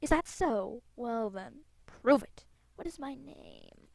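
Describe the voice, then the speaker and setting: A woman's voice: a little high, huge pitch swings, takes it slowly, vocal fry, darkish tone, no room sound, full character voice. A woman voicing a proud, impatient ancient female tree spirit in a game, speaking in a grand, formal manner. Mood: haughty, indignant.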